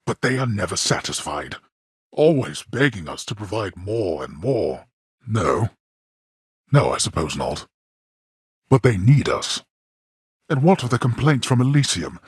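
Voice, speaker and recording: A man's voice: lowish voice, husky, no room sound, clean studio sound, exaggerated voice. An actor playing a stern, imperious male god of the dead who speaks formally and coldly, in a game. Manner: formal, cold and commanding, with clipped rebukes. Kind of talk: video game voice acting.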